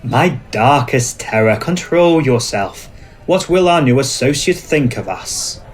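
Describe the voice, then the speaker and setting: A man playing an ancient, suspicious male vampire in an ASMR roleplay, speaking in formal, measured, commanding phrases. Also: medium pitch, expressive. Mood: stern, wary. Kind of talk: scripted ASMR roleplay acting.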